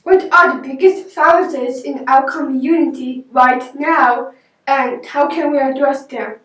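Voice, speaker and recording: High-voiced woman interviewer, echoey. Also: fairly even intonation, a bit bright. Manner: slow, careful questions. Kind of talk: reading prepared questions. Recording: echoey, distant from mic.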